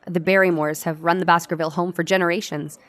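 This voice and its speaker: A woman's voice: lowish voice, steady tone, fast talker, fairly bright tone, no room sound, lightly acted. A woman playing a composed, tactful doctor in a radio play, speaking formally and carefully. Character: composed, tactful lady doctor and family confidante.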